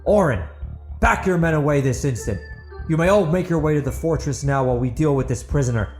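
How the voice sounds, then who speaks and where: Man acting as zealous angry warlord chieftain, commanding, menacing, formal, pitched up, fantasy audiobook, music bed. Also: lively intonation, fairly quick, darkish tone, reverberant, lightly acted. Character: furious, zealous male chieftain.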